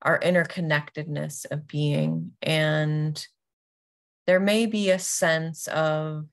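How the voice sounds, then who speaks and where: A woman guides a meditation at an extremely slow pace. Her voice sits slightly low and has a clear creak to it. She phrases things gently and deliberately, leaving long pauses between short phrases. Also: animated. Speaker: yoga nidra teacher.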